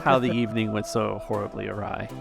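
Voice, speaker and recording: Gravelly man narrating over music. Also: on the low side, level tone, quick pace. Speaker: game master. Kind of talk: improvised tabletop game narration.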